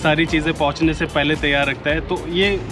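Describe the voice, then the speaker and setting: Man, 30s, food vlogger, casual and relaxed, handheld camera, background music. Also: a little high. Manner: relaxed and chatty, with filler pauses. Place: airplane-themed restaurant, indoors and outdoors. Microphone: action camera held at arm's length.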